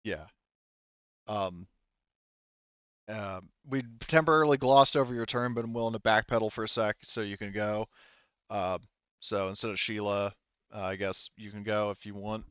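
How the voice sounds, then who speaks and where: A man's voice: fairly low pitch, steady tone, normal speed, long pauses, a bit bright, clear, resonant tone, dry recording, lo-fi sound. A man running a tabletop roleplaying game, narrating informally with some swearing and thinking aloud as he goes. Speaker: game master.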